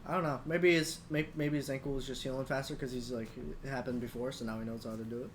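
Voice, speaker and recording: A man's voice: medium pitch, fairly even intonation, fast, slight background noise. A man co-hosting a sports podcast in informal banter, tossing out short, terse quips.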